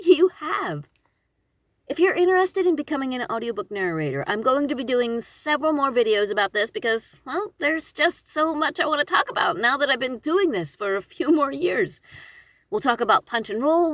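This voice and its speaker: Lively, higher-pitched woman giving informal advice. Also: dry recording. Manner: expressive, conversational, emphatic with self-deprecating asides. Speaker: audiobook narrator hosting her own advice channel. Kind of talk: informal instructional talk to camera.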